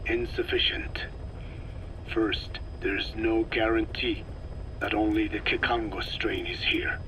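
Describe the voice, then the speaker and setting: Code Talker: a whispering ancient sage. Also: male voice, fairly low pitch, fairly even intonation, muffled recording. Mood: grave. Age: older. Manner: slow, hushed, whispery, formal. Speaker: scientist giving instructions. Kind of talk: video game cassette tape dialogue. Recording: cassette tape with audible hiss.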